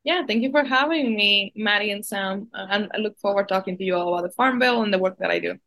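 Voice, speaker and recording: A young woman from the Dominican Republic speaks as a podcast guest. She answers in long, earnest runs and sometimes restarts a word. Her voice sits at an ordinary pitch for a woman. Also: quick pace, a bit bright. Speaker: guest, farm policy advocate. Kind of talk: casual interview answers.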